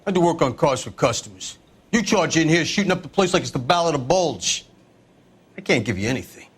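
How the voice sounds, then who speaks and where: A man's voice: a little high, lively intonation, fairly quick, a little hiss or hum, natural voice. An actor playing a defiant, evasive crooked mechanic in a video game, sarcastic and wheedling like a cornered wise guy. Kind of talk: cinematic video game acting.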